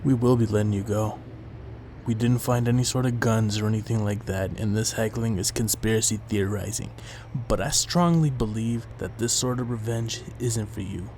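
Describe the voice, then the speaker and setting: A man's voice: lowish voice, steady tone, normal speed, darkish tone, slight background noise, close to an everyday voice. A man playing a stern official, formal and admonishing, in an audio drama. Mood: firm, admonishing.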